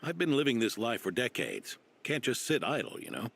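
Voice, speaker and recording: A man voicing an old veteran knight in a video game, gruff and dutiful, with a gravelly, weighty way of telling things. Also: mid-range voice, huge pitch swings, a little fast, a bit bright, a little hiss or hum, full character voice. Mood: gruff, wry. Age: older. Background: game music.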